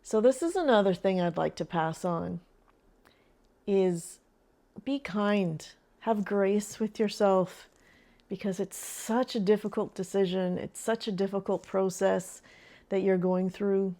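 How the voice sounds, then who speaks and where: An older woman talks straight to camera in a chatty, earnest way. She is a retired grandmother sharing advice, and soft laughs slip in between her thoughts. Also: medium pitch. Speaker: vlogger. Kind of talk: casual advice vlog.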